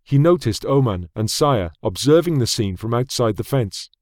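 A man narrating a fantasy audiobook in a measured, dramatic storytelling manner on a close studio mic. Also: medium pitch, expressive, darkish tone, no room sound.

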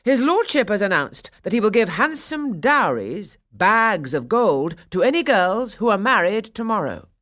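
A woman's voice: fairly high pitch, sing-song, moderate pace, voice pushed up. A woman voicing a self-important, big-bellied male storybook mayor making pompous proclamations. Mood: proclaiming.

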